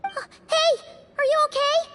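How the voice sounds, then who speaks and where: A woman voicing a tiny childlike fairy companion in a video game, squeaky, chatty and expressive. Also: measured pace. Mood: worried, puzzled. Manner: chatty, expressive, refers to self in third person. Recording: loud background music.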